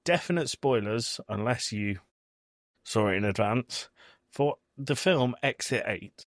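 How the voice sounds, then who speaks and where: A man hosting a podcast, chatting casually about films in an animated storytelling way. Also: medium pitch, measured pace, no room sound, clean studio sound. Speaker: podcast host. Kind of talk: casual film review chat.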